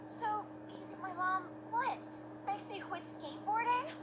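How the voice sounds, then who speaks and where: An actress voicing a dramatic, slangy teenage skateboarder in a cartoon. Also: female voice, extremely high pitch, measured pace, heavy background noise. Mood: exasperated. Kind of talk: scripted cartoon acting. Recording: loud music behind the dialogue.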